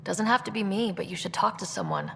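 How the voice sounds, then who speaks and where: An actress playing a confident young expedition leader in a video game, decisive and wry. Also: female voice, mid-range voice, huge pitch swings, fairly quick, gravelly, slight background noise. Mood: supportive.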